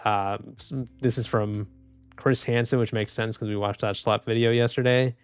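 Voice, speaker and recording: A man live streaming and reading chat, speaking softly and calmly with frequent restarts. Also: lowish voice, moderate pace, clean tone. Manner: soft-spoken, calm, trailing off with restarts and small laughs. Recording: dry close mic, faint music underneath.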